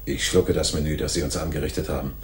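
Senior-sounding noir cop: deep register, fair-minded, firm and reasoning, radio play delivery. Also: male voice, fairly even intonation, fast, a little hiss or hum. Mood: calm. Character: calm, authoritative, fair-minded male police detective. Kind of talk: 1980s German radio crime drama acting.

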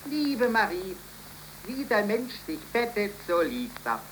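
An actor playing a stern, sanctimonious suitor with a solemn, declamatory, insistent delivery in a 1930s radio play. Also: male voice, sits high, sing-song, takes it slowly, a bit bright, exaggerated voice, poor audio. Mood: reproachful. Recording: hissy 1930s radio recording.

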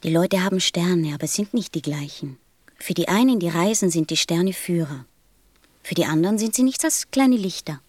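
Radio play role: gentle, wistful small boy, hushed breathy delivery, very dry sound. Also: on the low side, expressive, moderate pace, no echo. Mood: tender, consoling. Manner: tender, solemn, simply spoken. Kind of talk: German radio play acting.

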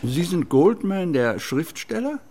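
An actor playing a wealthy, guarded gentleman in a German radio crime drama, speaking formally and with deliberate measure. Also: high-pitched, sing-song, slight background noise, full character voice. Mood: formal, reserved. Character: wealthy, guarded gentleman of an old family estate.

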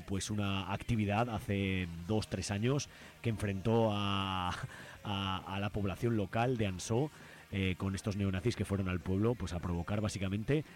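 Low-pitched Spanish man on radio. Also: a little mellow. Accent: Spain. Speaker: radio show host. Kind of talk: radio commentary and announcements.

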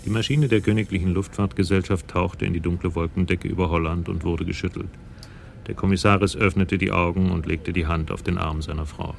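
A man narrating a radio crime drama from a script in a sober, even storytelling manner. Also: low voice, fairly quick, creaky, background noise.

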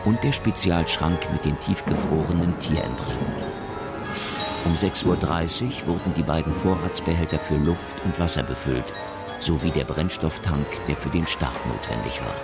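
An actor narrating a science fiction radio drama as a sober storyteller. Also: male voice, a bass voice, normal speed, loud music behind the voice. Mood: sober, measured.

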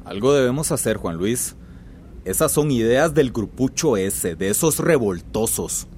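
An actor playing an arrogant, scheming Central American company boss in a radio drama, pompous and domineering. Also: male voice, medium pitch, lively intonation, a little slow, darkish tone, a little hiss or hum, close to an everyday voice. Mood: impatient, scornful. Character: arrogant, scheming soft-drink company boss, contemptuous of villagers.

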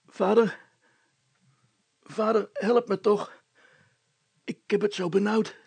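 Male reader as storybook boy, hesitant, plaintive, raised pitch, husky. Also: fairly even intonation, takes it slowly, a little mellow, no echo, full character voice. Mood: distressed, groaning. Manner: hesitant, groaning. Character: Hannes, plaintive, dependent young son, a boy.

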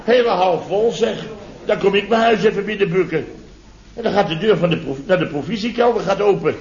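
A man's voice: high voice, fairly quick, darkish tone. An actor playing a boastful, indignant contractor in his fifties in a radio comedy, repeating himself and exclaiming self-importantly. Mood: exasperated. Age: 50s. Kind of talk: 1970s radio comedy acting. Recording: dull vintage radio recording.

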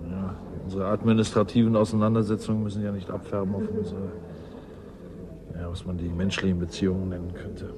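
An actor playing a world-weary, guarded scientist in a 1980s German radio drama, gruff and dryly ironic. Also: male voice, sits low, little pitch movement, fast talker, background noise, lightly acted.

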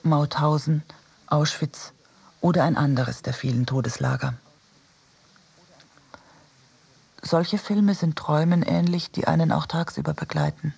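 An actor playing a reflective narrator of memories in a radio drama, quiet and measured, with a husky, breathy voice. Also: male voice, on the high side. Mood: sober. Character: reflective narrator recalling childhood, cinema and wartime loss. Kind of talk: literary first-person narration for radio drama.

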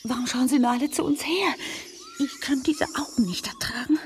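A woman's voice: high voice, moderate pace, slight background noise, lightly acted. An actress playing a distressed, plaintive woman trapped and accused in a radio play, speaking anxiously and pleadingly. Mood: frightened, urgent. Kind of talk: German radio play acting.

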